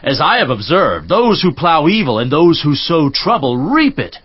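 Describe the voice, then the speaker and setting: A man playing a stern, admonishing counselor from scripture, speaking in an emphatic, rhetorical preaching manner with a husky voice. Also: fairly high pitch, huge pitch swings, moderate pace, no room sound, heavily acted. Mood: stern rebuke. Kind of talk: dramatized scripture reading, theatrical. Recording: slightly muffled audio.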